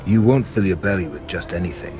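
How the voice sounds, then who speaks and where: Man acting deep-voiced, stern medieval inquisitor. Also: a little fast, over music, lightly acted. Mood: firm, measured. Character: stern, sardonic male inquisitor in a grim medieval fantasy. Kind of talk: video game voice acting.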